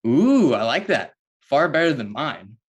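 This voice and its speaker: A man's voice: on the high side, very animated, unhurried, breathy edge, highs cut off, heavily acted. A man playing a dry, guarded young former sidekick superhero in an amateur table read. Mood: dry, awkward.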